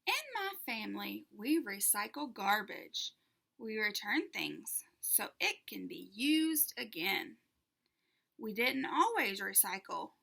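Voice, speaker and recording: Animated, bright-voiced woman reading a storybook. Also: mid-range voice, takes it slowly, gravelly. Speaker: teacher reading a children's book aloud. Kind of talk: expressive children's storybook read-aloud.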